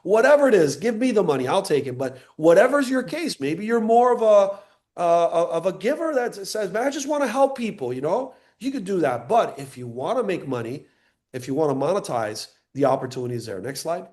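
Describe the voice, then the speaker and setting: A man's voice: sits high, sing-song, fairly quick, a little mellow. A man born in Cuba and raised in Miami giving an enthusiastic sales presentation on a live call, teasing listeners by name.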